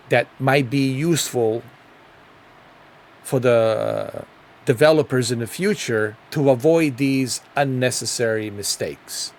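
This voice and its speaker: Bosnian-accented male vlogger, middle-aged, rambling casual game commentary full of fillers. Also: mid-range voice. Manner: rambling, repeats himself, frequent ums and uhs. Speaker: gaming video creator.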